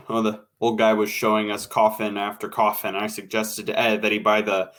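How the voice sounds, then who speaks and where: A man's voice: on the low side, completely flat delivery, normal speed, fairly bright tone, lightly acted. A man playing a wry, bossy radio show colleague in an old-time radio drama, with a dry, casual, take-charge manner. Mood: smug.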